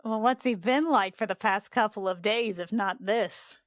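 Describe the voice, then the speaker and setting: A woman's voice: mid-range voice, normal speed, no room sound. Woman player, close dry sound. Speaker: tabletop game player. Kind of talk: casual improvised role-play.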